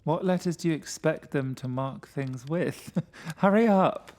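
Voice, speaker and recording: A man's voice: a little high, unhurried, darkish tone, pitched above the performer's own voice, lightly acted. A man voicing an impatient, dismissive companion who brusquely reassures someone in a gothic horror story.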